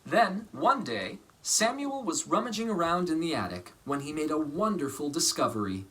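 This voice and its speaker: A man reading a children's story aloud in a measured, expressive way, pausing for emphasis. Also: on the high side, poor audio. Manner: measured, dramatic phrasing with pauses for emphasis. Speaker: storybook reader.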